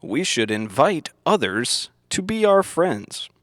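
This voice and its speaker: Male narrator for an educational film: unhurried, didactic, very animated pitch, close dry sound. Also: no echo. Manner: deliberate, didactic, simple enunciated phrasing. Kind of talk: scripted instructional narration, old educational film manner.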